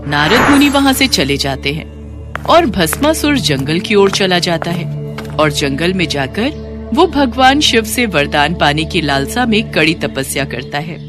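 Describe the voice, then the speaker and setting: Narrator for mythological tales, scripted read, highly varied pitch, music underneath. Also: very animated, a little slow. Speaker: narrator of devotional myth stories.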